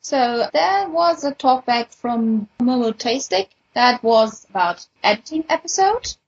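A woman's voice: a little high, animated, unhurried, breathy edge, highs cut off. A woman co-hosting a podcast with casual announcements and chat. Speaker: podcast host.

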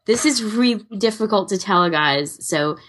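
A woman's voice: mid-range voice, animated, normal speed, fairly bright tone. A woman co-hosting a podcast in casual, conversational chat. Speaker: podcast co-host.

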